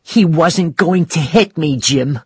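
A man voicing an angry young mother who shouts accusingly at her husband in an audiobook story. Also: on the high side, takes it slowly, slightly rough, dry recording, voice pushed up, heavily acted. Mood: upset.

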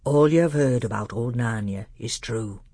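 A storybook reader voicing an old male tutor who is grave and secretive, with a hushed, deliberate way of talking and old-fashioned phrasing. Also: mid-range voice, breathy edge, no echo, voice pushed down. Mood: grave, impassioned. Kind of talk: audiobook character voice.

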